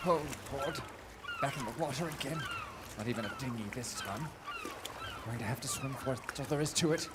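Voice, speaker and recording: An actor playing a weary, educated shipwrecked gentleman, halting, polite and earnest, in a theatrical live audio drama. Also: mid-range voice, very noisy, natural voice. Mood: exhausted, struggling.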